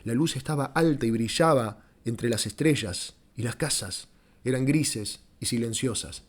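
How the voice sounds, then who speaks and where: Male audiobook narrator, medium-pitched voice. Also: a little slow. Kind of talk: dramatic scripted literary narration.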